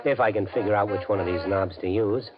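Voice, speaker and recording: An actor playing a desperate, excitable gambling everyman in a 1950s radio suspense drama, with intense, emphatic delivery. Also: lowish voice, fast talker, natural voice. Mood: bewildered muttering. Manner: intense, muttering to himself, emphatic. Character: desperate gambling-addicted everyman bank worker, excitable and brooding. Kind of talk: 1950s radio suspense acting, first-person narration and dialogue. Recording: dull 1950s radio recording, loud music.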